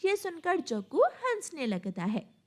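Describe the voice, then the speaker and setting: A woman's voice: very high voice, wildly swooping pitch, unhurried, a little mellow. A woman narrating a scripted cartoon story as its storyteller.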